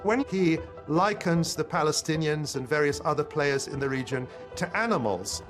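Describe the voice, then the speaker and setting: Indignant man, slightly high voice. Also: lively intonation, normal speed, music in the background. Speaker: interviewee, commentator. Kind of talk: interview.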